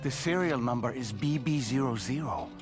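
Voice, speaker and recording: A man playing a serious, thoughtful investigator in a video game cutscene, speaking deliberately and articulately. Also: medium pitch, animated, over music, natural voice. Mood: astonished.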